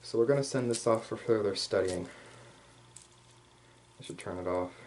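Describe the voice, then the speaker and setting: A man voicing a male hyena furry commander in a casual, rambling, playfully cocky ASMR roleplay, speaking straight to the listener with slangy asides and hesitant pauses. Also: on the low side, darkish tone, natural voice. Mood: casual, boastful. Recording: clear background noise.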